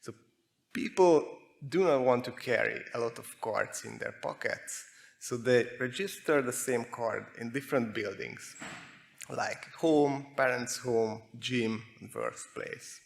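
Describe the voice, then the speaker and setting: Gravelly Hungarian-accented man giving a talk. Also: mid-range voice, unhurried. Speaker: conference speaker, security researcher. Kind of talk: prepared technical conference presentation.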